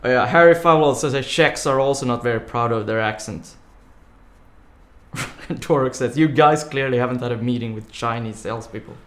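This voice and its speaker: A man's voice: mid-range voice, normal speed, fairly bright tone. A man hosting a live coding stream, chatting casually and rambling with lots of filler words. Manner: rambling, many "like" fillers, laughs easily. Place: home room. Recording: echoey room.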